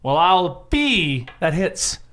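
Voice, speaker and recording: A man's voice: high-pitched, big pitch swings, takes it slowly, pushed and bright, clean tone. A man talking casually as a player in a tabletop role-playing game.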